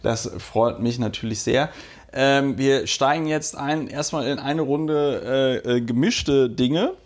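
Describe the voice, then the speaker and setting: A man hosting a podcast who has a cold, talking casually with many fillers and pauses, laughing easily. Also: mid-range voice. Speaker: podcast host. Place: indoor room with a guest.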